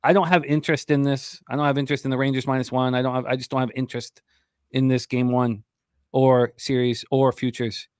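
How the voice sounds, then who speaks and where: A man's voice: medium pitch, level tone, a little fast, a little mellow, smooth, dry recording. A middle-aged man hosting a sports betting show, breaking down games conversationally in short, emphatic statements, close on a desk microphone. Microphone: large desk microphone, close.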